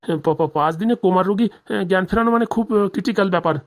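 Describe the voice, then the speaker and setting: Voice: mid-range voice, racing, lightly acted. A performer voicing a nervous, evasive small-time male village doctor in a comedy cartoon, stammering, pleading and grumbling. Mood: flustered.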